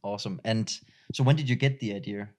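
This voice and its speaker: A man hosts a casual interview in a slightly low voice. He stumbles through his questions, restarting and filling gaps with "um" and "uh". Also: a little mellow, a little hiss or hum. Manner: halting, many restarts and fillers. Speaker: interviewer, host.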